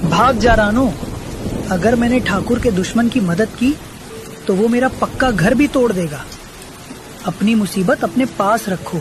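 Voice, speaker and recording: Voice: medium pitch, a little slow, pauses often, natural voice. A performer voicing a fearful, selfish male pigeon neighbour in a cartoon, curt and dismissive, with storm noise behind. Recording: loud storm and rain behind.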